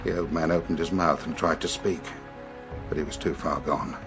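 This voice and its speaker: A grave British superintendent in a detective radio play. His voice is low and he tells things deliberately and with care, with faint music underneath. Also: steady tone. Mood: grave, intent. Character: grave male police superintendent recounting a strange murder. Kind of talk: British radio drama acting, recounting events.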